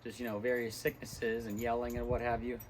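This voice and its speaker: A tired, hoarse young man talking casually between lifts in a gym, rambling with many ums. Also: mid-range voice, monotone, a little fast, slight background noise. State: hoarse, lost voice from illness. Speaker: fitness vlogger. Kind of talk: casual gym vlog talk.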